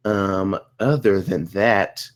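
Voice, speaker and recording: A man's voice: deep voice, slow pace. A man giving casual, conversational feedback on a script as a panelist. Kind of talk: casual spoken critique.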